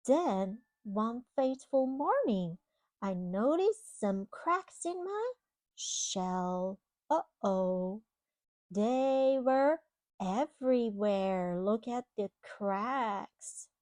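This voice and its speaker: A woman reading a children's picture book aloud, expressively, pausing to explain things to young listeners. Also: mid-range voice, a little mellow, no echo. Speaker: children's storybook reader. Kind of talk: read-aloud storytelling to young children with simple asides.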